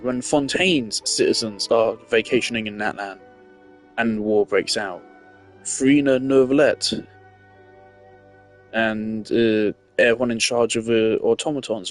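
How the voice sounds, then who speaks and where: A man giving casual, rambling gameplay commentary, blunt and swearing freely. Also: mid-range voice. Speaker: gaming streamer. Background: faint game music.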